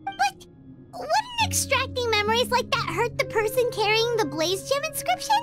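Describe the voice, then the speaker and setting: A tiny floating game companion with a squeaky, childlike, extremely high voice. She sounds curious and easily worried, and her pitch bounces around in a lively way over clear background music. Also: moderate pace. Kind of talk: video game voice acting.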